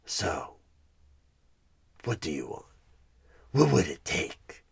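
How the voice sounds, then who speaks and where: A man playing a sinister, coaxing evil recruiter who bargains for souls in a creepy ASMR horror roleplay, husky and half-whispered, with deliberate dramatic pauses. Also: very deep voice, big pitch swings, heavily acted. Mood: persuasive, menacing. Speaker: audio roleplay performer.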